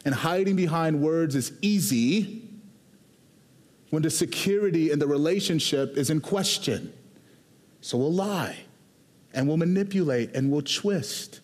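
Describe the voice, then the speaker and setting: A man from Houston, Texas, preaching a sermon as a pastor in a conversational, animated way that moves between quick asides and slow, emphatic lines. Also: on the high side. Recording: long hall reverb.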